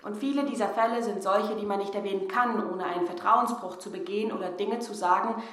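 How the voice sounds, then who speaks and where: A woman playing a mature, thoughtful gentlewoman in a radio drama, speaking in an earnest, measured and articulate way. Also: mid-range voice, reverberant, lightly acted. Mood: firm, reasoned.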